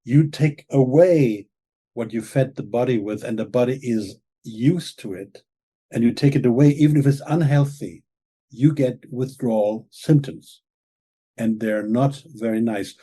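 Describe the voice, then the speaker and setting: Guy lecturing earnestly, dark husky voice, keeps saying okay? right?. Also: mid-range voice, measured pace. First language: German. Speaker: author and guest expert. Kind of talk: explaining in an interview.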